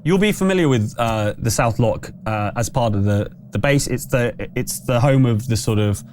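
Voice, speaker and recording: A man's voice: medium pitch, normal speed, darkish tone, slight background noise. A man working as game master, improvising tabletop narration in a conversational, explanatory way with hesitant phrasing.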